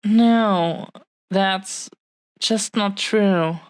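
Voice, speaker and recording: A woman playing a possessive, adoring dragon-blooded fiancée in an intimate audio roleplay, speaking in earnest, halting, heartfelt phrases. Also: medium pitch, clear, resonant tone, no echo. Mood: remorseful.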